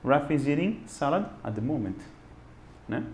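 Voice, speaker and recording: A man's voice: fairly high pitch, a little slow, echoey room, slight background noise. A man teaching English in a casual video lesson, speaking Portuguese as a second language and mixing in English examples. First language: non-Portuguese. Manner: animated and expressive, switching between Portuguese and English.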